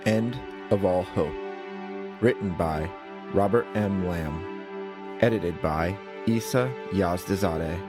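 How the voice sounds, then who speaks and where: Slow, low-voiced male credits announcer. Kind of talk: scripted credits reading. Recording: loud music under voice. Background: loud background music.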